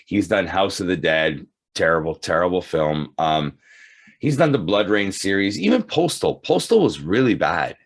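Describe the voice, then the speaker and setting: Low-voiced man hosting a casual podcast. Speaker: podcast host. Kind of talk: casual chat.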